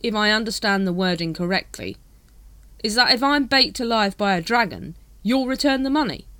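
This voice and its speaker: A woman's voice: mid-range voice, expressive, normal speed, bright, forceful tone, no room sound, pitched above the performer's own voice. Woman playing a gloomy watch captain. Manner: gloomy, dry. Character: weary plainspoken male city watch captain.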